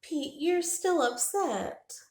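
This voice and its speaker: A kindly, protective storybook man, read by a woman, patient and reassuring. The delivery is slow with lively rises and falls, heard in an echoey room. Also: high voice, pitched above the performer's own voice. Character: kindly man, gentle, protective nature guide to a squirrel. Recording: roomy, echoing indoor sound.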